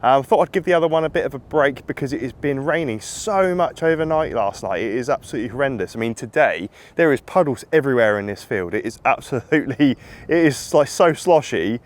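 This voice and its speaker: Husky-voiced man with a cold, chatty. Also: mid-range voice, expressive, a little fast. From England. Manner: chatty, rambling, upbeat, laughs often. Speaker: metal detecting vlogger. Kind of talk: casual outdoor vlog chat. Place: open wet field outdoors.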